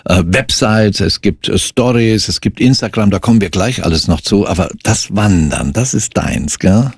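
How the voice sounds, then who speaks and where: A man's voice: fairly low pitch, animated, normal speed, gravelly, breathy edge, dry recording, clean studio sound. A man hosting a casual interview in a chatty way, reacting with surprise and short exclamations. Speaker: radio interview host.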